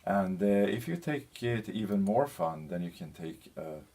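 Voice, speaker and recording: A man presenting an informal slide lecture as a teacher, speaking in a hesitant, halting way with frequent pauses. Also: sits low, expressive, dark tone. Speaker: university lecturer presenting a lesson. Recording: clear background noise.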